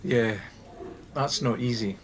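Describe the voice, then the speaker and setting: A man's voice: medium pitch, slow, slight background noise, lightly acted. A man playing a conscientious helpline team leader in a naturalistic radio play, reasonable and mildly defensive. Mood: measured, defensive.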